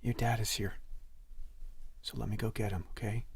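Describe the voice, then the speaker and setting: Gentle, husky-voiced worried lover. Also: male voice, fairly low pitch, steady tone, moderate pace, exaggerated voice. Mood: soothing, tender. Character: gentle, worried man comforting his sick sweetheart, Nick. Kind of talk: audio drama acting.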